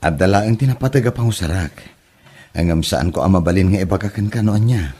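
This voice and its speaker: A man's voice: low voice, brisk, warm tone, breathy edge. An actor playing a jobless, sweet-talking young womanizer son who is evasive and short of money, in an Ilocano comedy drama. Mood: sheepish, pleading.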